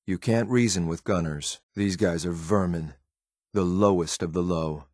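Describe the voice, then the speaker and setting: A low-pitched male synth soldier from a video game, recorded close and dry. He speaks in clipped, matter-of-fact military phrases. He sounds stern and dutiful, with disdain for whatever he finds beneath him. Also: unhurried, darkish tone, dry recording. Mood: contemptuous. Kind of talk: video game companion voice lines.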